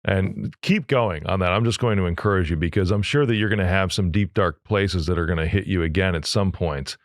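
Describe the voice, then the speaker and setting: A man hosts a podcast interview, upbeat and encouraging toward his guest, laughing easily. His voice is on the lower side. The sound is close and dry. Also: fairly quick, dry recording. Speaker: podcast host.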